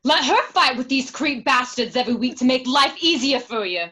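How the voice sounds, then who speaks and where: A woman's voice: high-pitched, lively intonation, moderate pace, pushed and bright, husky, exaggerated voice. A woman acting as an angry, hurt wife of a jailed mobster, accusing and emotional, in a radio drama. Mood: furious.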